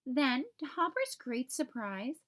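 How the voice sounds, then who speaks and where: A woman reading a children's picture book aloud as an expressive storyteller for young listeners. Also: high-pitched, slow.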